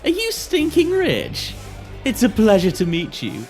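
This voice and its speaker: A woman's voice: on the high side, wildly swooping pitch, measured pace, darkish tone, soft background music. A woman playing a vain, gold-digging ugly stepsister in an audio pantomime, affected, gushing and brazen. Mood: flirtatious.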